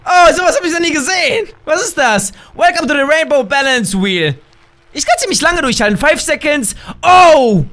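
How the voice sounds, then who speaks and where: Hoarse, shouty young man gaming. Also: very high voice, animated, normal speed. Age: young adult. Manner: loud, shouty, laughing, playful exclamations. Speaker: gaming YouTuber. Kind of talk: excited gameplay commentary. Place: small room at home, gaming chair. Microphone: headset with desk mic.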